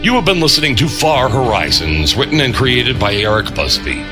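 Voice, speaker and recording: A man's voice: medium pitch, big pitch swings, moderate pace. A man working as an announcer, reading scripted end credits. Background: music.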